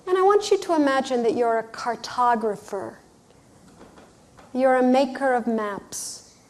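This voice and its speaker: A woman workshop leader leading a guided visualization in a gentle, soothing manner, speaking in short phrases with pauses. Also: high voice. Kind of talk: guided meditation, unhurried storytelling instruction.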